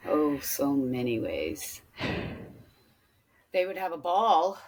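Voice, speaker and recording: A woman's voice: low-pitched, very animated, takes it slowly, darkish tone, slightly rough, low-quality recording. A woman co-hosting a podcast, chatting casually and jumping between topics. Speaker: podcast co-host; teacher. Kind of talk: casual chat.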